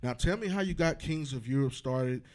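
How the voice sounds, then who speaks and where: A man's voice: medium pitch, moderate pace, darkish tone. Upbeat man, show host, casual sports interview. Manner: upbeat, hyping guests up.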